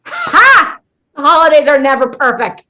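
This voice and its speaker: A woman's voice: high voice, sing-song, normal speed, pushed and bright, exaggerated voice, rough recording. A woman acting in a comedic radio play as a disgruntled, petty schemer trapped in a holiday sitcom, theatrical and defiant. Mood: nervous, sardonic.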